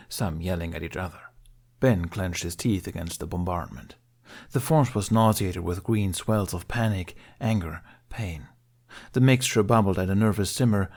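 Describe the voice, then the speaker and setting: A man's voice: lowish voice, a little mellow. A man reading a scripted story as an audiobook narrator.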